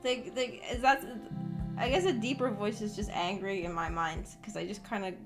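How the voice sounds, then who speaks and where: A woman's voice: medium pitch, steady tone, moderate pace. A young woman chatting casually as a VTuber on a livestream, rambling in a giggly, hesitant way. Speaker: VTuber streamer. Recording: background music behind voice. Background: music.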